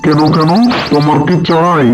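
A man voicing a gruff, unyielding cartoon farmer on guard, curt, over loud music. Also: fairly high pitch, little pitch movement, moderate pace, voice pushed down, lightly acted. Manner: curt, refusing. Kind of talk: Bengali cartoon voice acting.

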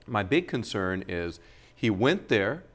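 A man's voice: fairly low pitch, big pitch swings, takes it slowly. Earnest middle-aged man arguing a point. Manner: earnest, argumentative, gestures while speaking. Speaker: guest. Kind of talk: studio interview. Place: TV studio. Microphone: lapel mic.

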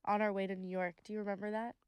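Smooth-voiced, chatty young woman. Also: medium pitch, little pitch movement, racing, no echo. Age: late teens. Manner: laughing, chatty storyteller. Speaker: guest, host's daughter. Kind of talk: casual family conversation. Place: cozy living-room studio on a sofa. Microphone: boom-arm studio mic.

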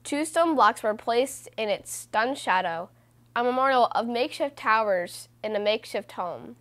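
A woman reading a children's book aloud as host, in a measured, deliberate way with stressed phrases. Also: on the high side, animated, fairly bright tone. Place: small room indoors.

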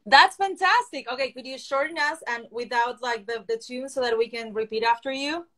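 A woman in her forties from Argentina, Spanish her first language, speaking English with an Argentine accent as she hosts a video-call interview from a lamp-lit living room, excited and stressing words slowly. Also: on the high side. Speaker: host. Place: living room indoors with lamps. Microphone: video call, phone or laptop camera.

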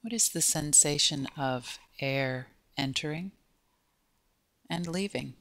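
A woman guiding a body scan meditation in a gentle, unhurried way, with pauses between short phrases. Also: sits low, no room sound. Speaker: meditation guide.

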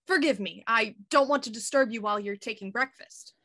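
Woman as a measured female inspector, fairly high voice. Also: bright, forceful tone. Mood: courteous, probing. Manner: measured, formal questioning. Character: probing, courteous female police inspector. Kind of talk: audio drama acting.

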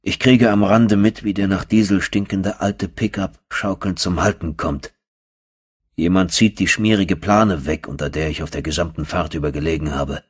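A man's voice: low-pitched, fairly even intonation, normal speed, husky. A man narrating a first-person horror audiobook in a dramatic, brooding and theatrical way.

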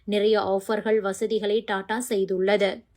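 A woman's voice: mid-range voice, level tone, slow pace, a little mellow. A woman reading scripted news narration as a news narrator.

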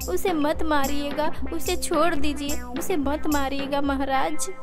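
A woman's voice: high-pitched, steady tone, a little slow, over music. A woman playing an earnest young mother in a cartoon, addressing a king in an emotional, formal, pleading manner. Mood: desperate pleading. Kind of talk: Hindi cartoon dubbing.

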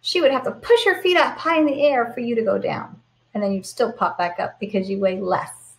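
Higher-pitched American woman teaching young children. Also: a little fast, vocal fry, rough recording. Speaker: primary school teacher reading aloud to children. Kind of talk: picture book read-aloud with explanations for children. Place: indoors at home, in front of a computer.

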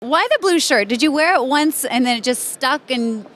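A woman with a high voice works as an interviewer. She chats casually with guests and puts simple questions to them as host of the show. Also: animated, moderate pace, noisy background. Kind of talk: casual on-location interview chat.

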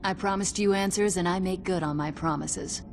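A deep-voiced woman as a tough mutant agent in a video game, played by an actress. She is sultry, confident and flirtatious. Soft music sits behind her. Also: steady tone, normal speed, close to an everyday voice. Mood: cool, assured. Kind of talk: video game cutscene acting. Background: faint music.

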